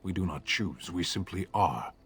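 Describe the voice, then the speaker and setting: A man's voice: sits low, normal speed. An actor voicing a stern, towering male warrior of a giant race in a video game, speaking in blunt, literal pronouncements. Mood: solemn. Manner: blunt, flat, matter-of-fact pronouncements. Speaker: game companion.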